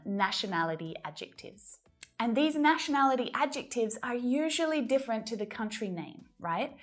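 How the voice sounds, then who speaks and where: A woman explains English phrases to beginners in a clear, patient teaching voice. Her pitch sits in a middle range for a woman. She slows right down on each example phrase, and soft music plays faintly behind her. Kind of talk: English lesson to camera.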